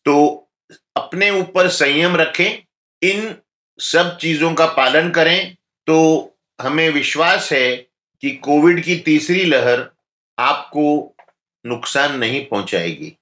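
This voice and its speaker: A man speaking as a doctor, giving calm, instructive health advice in an interview. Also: on the high side, takes it slowly, reverberant.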